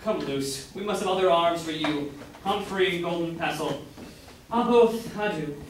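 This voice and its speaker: Man as cocky young rival, high voice, echoey. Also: moderate pace, lightly acted. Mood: cocky, taunting. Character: cocky, defiant young male rival lover. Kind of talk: amateur staged reading of Jacobean comedy. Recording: distant echoey room, noticeable noise.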